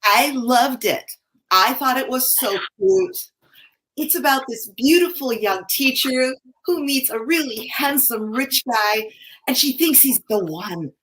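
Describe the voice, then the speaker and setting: A woman's voice: high-pitched, lively intonation, unhurried, a bit bright, low-quality recording. A woman playing a sweet, sentimental theater club member, gushing and dreamy, in a community staged reading.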